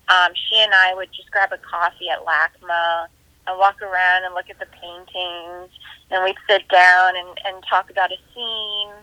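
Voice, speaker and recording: A woman director on a remote festival Q&A, thinking aloud in a bright, forward voice over a slightly lo-fi connection. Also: medium pitch, dry recording. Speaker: independent filmmaker being interviewed. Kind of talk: reflective interview answers, casual.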